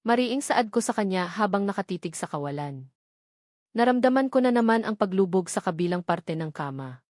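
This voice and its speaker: A woman's voice: medium pitch, lively intonation, moderate pace, a little mellow, no echo, studio quality. A woman reading a dramatic first-person story as an audiobook narrator, in a scripted style.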